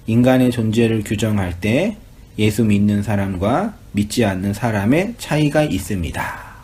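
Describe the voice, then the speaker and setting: A man's voice: medium pitch, darkish tone. A male pastor teaching a small Bible study group, his voice rising and falling a lot as he talks. He keeps it conversational, tossing questions to the group and laughing easily.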